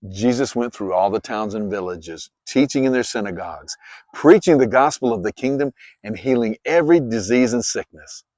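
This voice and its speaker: A man narrating scripture as a dramatized story, with expressive, clearly phrased delivery. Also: mid-range voice, moderate pace, no room sound. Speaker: Bible narrator.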